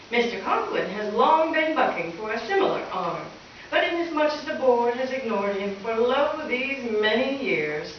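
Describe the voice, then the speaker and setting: Woman playing a wry schoolteacher, lively and echoey. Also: high-pitched. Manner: dry, sardonic, mock-formal. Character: wry, wisecracking female high school English teacher. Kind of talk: old-time radio comedy acting and narration. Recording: echoey stage from far off, dull, hissy.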